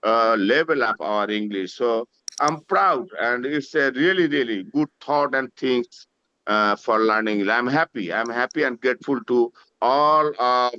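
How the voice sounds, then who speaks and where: Slow, halting man on muffled call. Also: medium pitch, bright, forceful tone, clean tone. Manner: halting, polite, grateful. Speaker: webinar participant.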